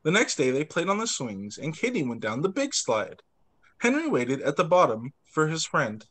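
A man's voice: a little high, moderate pace. A young man, a teacher, reads picture books aloud to small children expressively, with short asides. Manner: expressive, doing voices for dialogue, with short asides. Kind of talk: animated picture-book read-aloud. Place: indoors, on a video call.

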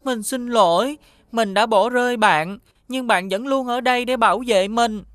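Woman voicing an eager, high-pitched little kid. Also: normal speed, no echo, clean studio sound, close to an everyday voice. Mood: remorseful. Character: young child, eager, playful, sincere. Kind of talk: Vietnamese animated series dubbing.

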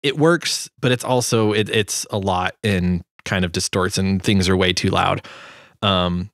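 A man in his twenties talking casually through his sound design work, relaxed and self-deprecating, close to the microphone. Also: sits low, unhurried, no room sound. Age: 20s. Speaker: sound designer hosting a tutorial. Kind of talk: casual screen-share walkthrough. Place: small home studio room. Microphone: close boom-arm microphone.

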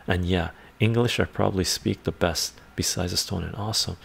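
Gravelly low-voiced man chatting on stream. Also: steady tone, fairly quick. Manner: rambling, chuckling, reads chat aloud. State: chest congestion, coughing. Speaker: livestream host. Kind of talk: casual chat reading viewer comments. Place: home room with bookshelves. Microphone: desk microphone in front of him.

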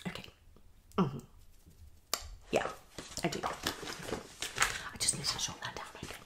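A woman, around her thirties, performing an ASMR psychologist roleplay, muttering and repeating herself as she slips between whispers and speech. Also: normal speed. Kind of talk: fast, unpredictable ASMR roleplay. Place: small living room, indoors.

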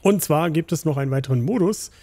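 A slightly hoarse, tired man casually commenting on a game he plays, rambling with many fillers. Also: on the high side, fast talker, dark tone. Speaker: gaming channel host.

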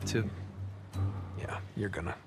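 An actor playing a skeptical, wry American adventurer in a video game, speaking conversationally in a naturalistic style. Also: male voice, takes it slowly, over loud music, lightly acted. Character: wry American adventurer, reluctant retired treasure hunter.

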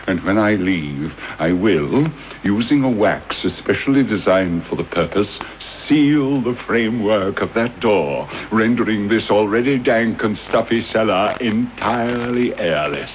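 Low, sardonic British gentleman. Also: lively intonation. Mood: coolly sardonic. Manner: sardonic, measured, formal. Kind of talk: radio play acting. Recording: dull, hissy old radio tape recording.

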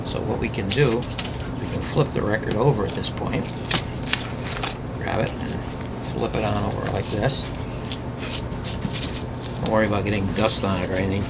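A man giving an informal hands-on craft demonstration, explaining step by step in a halting, unscripted way. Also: fairly low pitch, steady tone. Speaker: craft instructor demonstrating scroll saw work. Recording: loud ambient hum and workshop noise.

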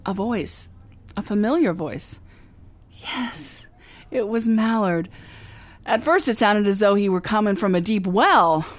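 An actress plays a young lady private eye in a radio drama, telling her case with a dry, wry hardboiled voice. Her pitch sits in the middle. It has a live-performance radio-play feel. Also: expressive, unhurried, no room sound, lightly acted. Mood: narrating. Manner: dry, wry first-person hardboiled narration. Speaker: narrator and lead detective. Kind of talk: 2009 re-creation of 1950 radio drama. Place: recorded live, San Francisco.

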